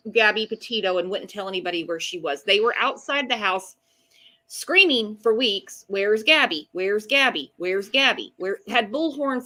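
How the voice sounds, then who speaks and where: A woman's voice: fairly high pitch, sharp tone. A woman co-hosting a live true-crime chat show, talking in a blunt, emphatic, colloquial way. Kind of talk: casual opinionated chat.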